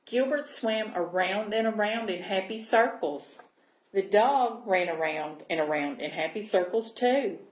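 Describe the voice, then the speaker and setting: Female children's storyteller, unhurried and expressive, mid-range voice in a reverberant room. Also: mid-range voice. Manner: expressive, pausing to check understanding with listeners. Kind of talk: storybook read-aloud for young children. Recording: roomy, echoing sound.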